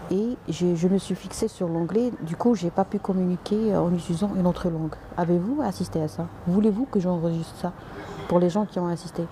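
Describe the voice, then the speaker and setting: A woman's voice: lowish voice, dark tone, slight background noise. A woman doctor answering viewers' comments on a live stream, polite but direct, with frequent hesitations and restarts, slipping in English and Arabic words.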